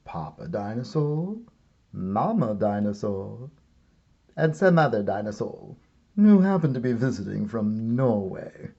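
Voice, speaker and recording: A man reading a children's story aloud as a teacher would, with theatrical comic timing and wry pauses. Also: on the low side, very animated, gravelly, dark tone, dull audio. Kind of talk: expressive storybook read-aloud.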